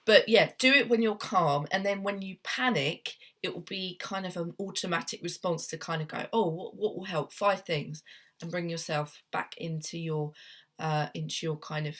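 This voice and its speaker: A young woman therapist with a cold, talking casually to camera at her desk at home, gentle and meandering with many ums and restarts. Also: on the low side, steady tone, normal speed. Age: young adult. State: has a cold, sniffly. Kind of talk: casual self-help talk to camera.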